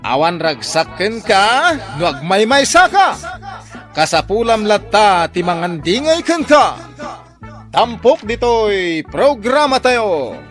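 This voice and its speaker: A woman's voice: medium pitch, very animated, takes it slowly, soft background music. A woman with an Ilocano accent announcing a radio comedy drama programme.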